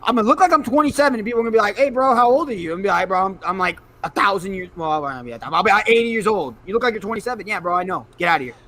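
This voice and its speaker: A man's voice: sits high, racing, few pauses. A man in his twenties co-hosting a casual sports chat, joking and bantering with slang. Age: 20s. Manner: animated, jokey, slangy banter. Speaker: co-host.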